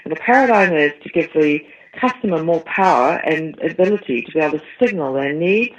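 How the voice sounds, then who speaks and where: A woman's voice: low-pitched, expressive, fairly quick. New Zealand businesswoman on a dull line. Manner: explains at length, business vocabulary. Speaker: tech company CEO guest. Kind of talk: interview answers.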